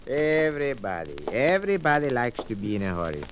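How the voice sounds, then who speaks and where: A man's voice: medium pitch, very animated, a little fast, lightly acted. An actor playing a fussy, put-upon male clerk, complaining and self-pitying in a 1940s radio drama. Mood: harried, grumbling. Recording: faintly noisy, clicky old radio recording.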